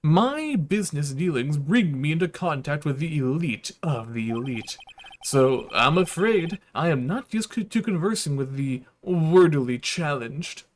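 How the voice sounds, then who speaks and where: A man's voice: a little high, animated, a little slow. A man voicing an arrogant, pompous corporate executive from a visual novel, with florid, grandiose delivery. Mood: condescending. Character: pompous, arrogant male corporate executive with mangled big words. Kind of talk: visual novel villain read aloud.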